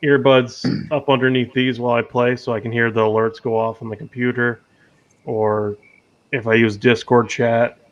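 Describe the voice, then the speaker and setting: A man's voice: mid-range voice, level tone, moderate pace, slight background noise. Man on a dull lo-fi mic. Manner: hesitant, reads settings aloud. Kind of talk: casual tech chat. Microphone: USB mic.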